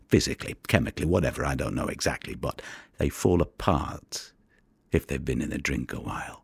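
An actor playing a haunted, weary fisherman in an audio drama monologue, with a gravelly, breathy voice and a hushed, brooding delivery. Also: extremely low pitch, dry recording, studio quality, heavily acted. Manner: hushed, deliberate, brooding storytelling. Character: haunted, weary Mediterranean fisherman who recovers drowned bodies.

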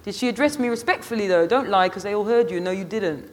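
A man's voice: high voice, fast talker, voice pushed up, natural voice. A man voicing a defiant, cocky young man from Hackney, slangy and argumentative, in an audiobook story. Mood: indignant. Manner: slangy, argumentative, self-justifying.

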